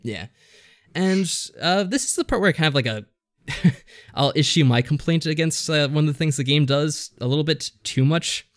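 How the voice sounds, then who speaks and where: A man's voice: a little high, moderate pace. A man co-hosting a podcast, recapping things in a casual, hesitant, rambling way. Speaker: podcast co-host. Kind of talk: casual discussion recapping a visual novel.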